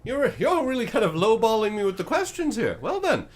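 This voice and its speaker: A man's voice: high voice, big pitch swings, fairly quick, pitched above the performer's own voice. A man voicing an ancient undead oracle spirit in a tabletop game, flippant, fussy and theatrical, with a condescending, hesitant way of talking. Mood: disappointed, blasé.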